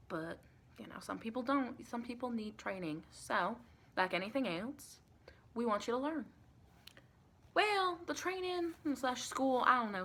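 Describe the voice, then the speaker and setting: A soft-spoken woman playing a chatty, caring female vampire intake interviewer in an improvised ASMR roleplay, rambling and reassuring with pet names. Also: medium pitch, gravelly, a little hiss or hum. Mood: explanatory, reassuring. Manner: rambling, reassuring, endearments like honey and sweetie.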